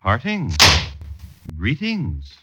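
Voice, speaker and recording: A man's voice: mid-range voice, wildly swooping pitch, very slow, fairly bright tone, highs cut off, a little hiss or hum, exaggerated voice. A man performing as a wry, droll storyteller narrating an old-time radio drama. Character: wry, droll male storyteller of a period tale.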